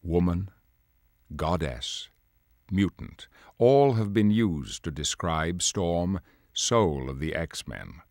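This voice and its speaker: A man's voice: deep voice, very animated, takes it slowly, darkish tone, no room sound, clean studio sound, exaggerated voice. A man playing a grave, dignified leader of superhero mutants, delivering solemn, portentous dramatic narration for a video game. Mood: grave, measured. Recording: music under the voice. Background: music.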